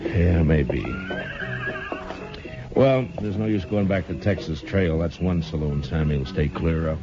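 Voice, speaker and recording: An actor playing a stern, weary Old West marshal in a 1950s radio western, with an American accent and a terse, dry delivery. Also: male voice, extremely low pitch, measured pace, over music. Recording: dull old radio broadcast.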